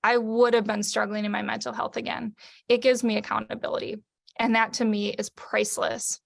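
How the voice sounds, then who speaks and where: A woman's voice: a little high, level tone, fairly quick, creaky. A woman giving a testimonial on a video call, preaching in rhetorical questions. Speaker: company coach.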